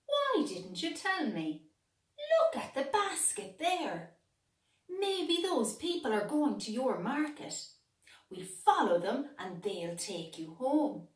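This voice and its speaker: A woman voicing a clever, confident female cat in a storybook, asking brisk, bossy questions. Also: high-pitched, very animated, reverberant, recorded from a distance, pitched above the performer's own voice, full character voice.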